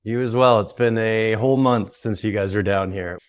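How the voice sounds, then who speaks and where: A man with a Canadian accent, a guest on an interview podcast, explaining his work in a relaxed way and often restarting his sentences. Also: lowish voice, moderate pace, smooth. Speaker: guest, psychedelic retreat founder. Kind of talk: conversational interview.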